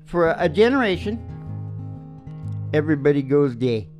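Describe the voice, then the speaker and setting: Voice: mid-range voice, very animated, moderate pace, darkish tone. A singer-songwriter hosting a music show in casual, teasing banter, easily sidetracked while talking.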